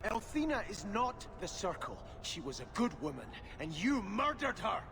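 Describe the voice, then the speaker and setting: Pious, vengeful nobleman, high voice with dramatic swings, impassioned fantasy game acting, noisy background. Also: big pitch swings, moderate pace, creaky, full character voice. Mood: vengeful fury. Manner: impassioned, formal. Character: devout, anguished, vengeful male nobleman.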